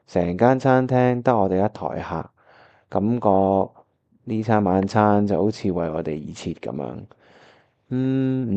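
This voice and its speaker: An actor playing an earnest, caring young pianist in a Cantonese radio drama, with a Hong Kong accent. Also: male voice, on the low side, warm tone, muffled recording. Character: earnest, caring young male pianist, narrating his memories. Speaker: voice actor narrating and playing the lead.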